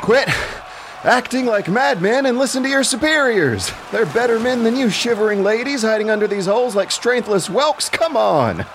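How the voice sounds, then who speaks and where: A man's voice: very high voice, animated, normal speed, background noise, pitched above the performer's own voice. A man playing a wily, commanding ancient warrior king, emphatic, persuasive and scolding, in an audio drama.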